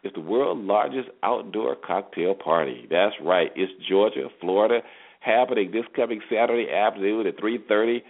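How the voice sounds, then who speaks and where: A man's voice: lowish voice, moderate pace. A man hosting a solo sports talk show, giving conversational commentary with rhetorical questions and repeated points for emphasis. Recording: tinny phone-line internet radio audio.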